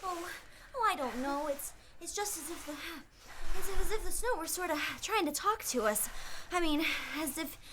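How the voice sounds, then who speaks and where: A woman's voice: extremely high pitch, expressive, moderate pace, fairly bright tone, slight background noise. An actress playing a timid, superstitious young city woman in a radio play, hesitant and easily frightened. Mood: uneasy. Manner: hesitant, stammering, seeking agreement.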